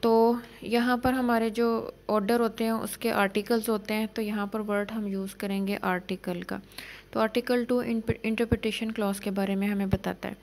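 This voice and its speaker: A woman giving an exam-prep law lecture, reading English clauses slowly and explaining them in Urdu. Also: mid-range voice, level tone, a little mellow.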